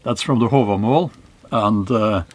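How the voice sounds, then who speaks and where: Slow-spoken man with a Norwegian accent. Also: lowish voice. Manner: slow and deliberate, with pauses and fillers. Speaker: channel host, historical novelist. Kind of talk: reflective monologue to camera. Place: small cave in a forest.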